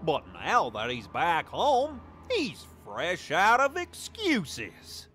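Menacing cartoon dog, comedy skit, slow drawn-out melodrama, huge pitch swings. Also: male voice, wildly swooping pitch, a bit bright, faint music behind, full character voice. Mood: brooding, vengeful.

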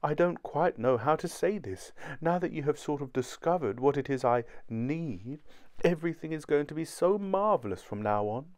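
A man's voice: fairly high pitch, lively intonation, normal speed, a little mellow, pitched above the performer's own voice, natural voice. A man voicing an earnest, confiding wife who speaks with emotion and tenderness in an audiobook story. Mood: gushing, tender. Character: earnest, nervous wife confessing, then gushing with tenderness. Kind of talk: audiobook character dialogue.

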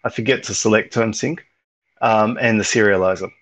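A man's voice: fairly low pitch, steady tone, normal speed, fairly bright tone. A man presenting at an online meetup over slides, talking casually with frequent ums and restarts. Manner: rambling, lots of ums and restarts.

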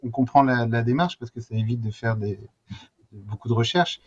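Un homme d'âge mûr explique son point de vue de manière informelle, dans une discussion en visioconférence. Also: male voice, mid-range voice, normal speed, breathy edge. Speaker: panel guest. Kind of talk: casual panel discussion. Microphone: video call. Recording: slightly dull video call audio.

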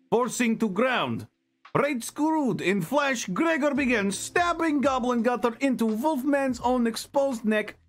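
A man's voice: extremely high pitch, exaggerated voice. A man voicing a proud, boastful barbarian hunter in broken English, reading a fantasy newspaper column in character. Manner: clipped broken grammar, self-important third-person storytelling.

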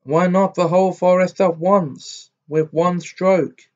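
A man's voice: high-pitched, steady tone, takes it slowly, voice pushed up. Man playing a cocky bragging tailor. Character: boastful cheeky grown male tailor. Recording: dull lo-fi recording.

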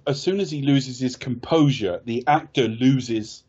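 A man's voice: mid-range voice, a little slow, a little mellow, slightly rough. A man from Ireland hosting a casual film review podcast, rambling and wry, often correcting himself. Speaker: podcast host. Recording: dull lo-fi podcast audio.

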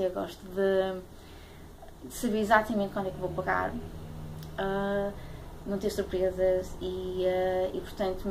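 A woman in her late twenties with a European Portuguese accent, talking casually to camera as a vlogger, hesitant and searching for words. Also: medium pitch. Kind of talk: casual talk to camera, airing a pet peeve. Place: small room at home, indoors. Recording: clearly noisy home webcam audio.

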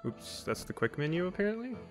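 A man's voice: sits high, sing-song, fairly quick. A man giving casual, rambling gameplay commentary as a let's play host, with wry asides. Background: faint game music.

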